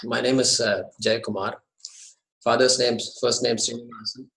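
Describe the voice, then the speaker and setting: A man's voice: medium pitch, level tone, normal speed, gravelly, fairly bright tone. Indian man teaching over echoey call. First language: Tamil. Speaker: Sanskrit teacher. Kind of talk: online class orientation talk. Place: his apartment. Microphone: video call.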